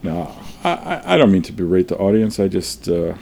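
A man's voice: low voice, very animated, a little fast, creaky, darkish tone. A man hosting a music show in casual chat, with a wry, teasing manner. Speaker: host of an old-time music radio show. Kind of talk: casual chat, introducing records.

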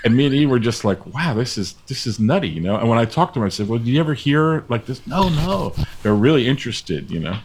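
A man's voice: mid-range voice, big pitch swings, quick pace, a little hiss or hum. Man hosting a casual old-time music chat.

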